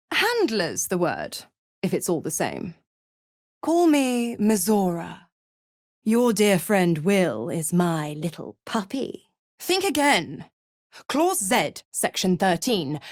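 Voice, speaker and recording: A woman playing a seductive, scheming devil in a video game, theatrical and condescending, with a silky, mocking delivery that slips toward breathy whispers. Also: mid-range voice, sing-song, slow, no echo, clean studio sound. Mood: smug, mocking. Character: seductive, scheming female devil who trades in pacts. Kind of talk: video game character acting.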